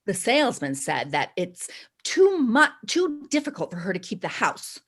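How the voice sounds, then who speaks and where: A woman's voice: medium pitch, huge pitch swings, normal speed. A woman playing a forward, friendly busybody of a suburban housewife in a staged play reading. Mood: nosy, bright. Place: video call.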